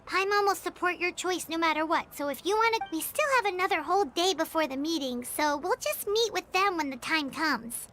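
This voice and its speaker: A woman voicing a tiny, excitable childlike fairy companion in a video game, expressive and easily indignant. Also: very high voice, a little fast, hardly any pauses, slight background noise. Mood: supportive. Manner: refers to self in third person, indignant and expressive.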